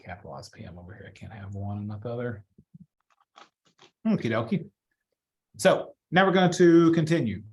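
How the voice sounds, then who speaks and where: A man giving a casual software walkthrough as a trainer, chatty and informal, thinking aloud as he works. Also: medium pitch, normal speed, pauses often. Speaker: real estate trainer.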